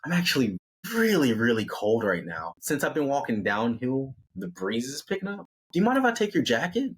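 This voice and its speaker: A man's voice: mid-range voice, very animated, fairly quick, breathy edge. A man voicing a self-absorbed, demanding woman hiker in a story, with a cutesy, entitled manner. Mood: entitled, pleading.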